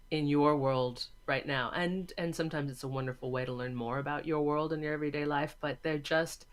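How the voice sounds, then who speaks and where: A woman answering casually as an interview guest, stressing her points by repeating phrases. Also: low-pitched. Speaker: interview guest, teacher of storytelling students.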